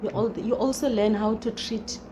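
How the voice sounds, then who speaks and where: A woman's voice: a little high, fairly even intonation, normal speed. A South African woman whose first language is Xhosa, a guest and former teacher, chatting reflectively and repeating her key phrases. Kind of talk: casual chat. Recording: clear background noise.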